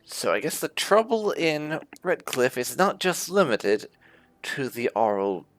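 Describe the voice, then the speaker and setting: A man's voice: fairly high pitch, very animated, slow, a bit bright, no room sound. A man giving casual let's play commentary as a gaming host, musing to himself with dry asides.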